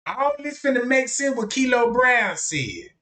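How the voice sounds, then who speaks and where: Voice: mid-range voice, sing-song, a little slow, bright, forceful tone. Casual stream host in an echoey room. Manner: casual, pointing things out to viewers. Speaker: livestream host. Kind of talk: casual commentary over video footage.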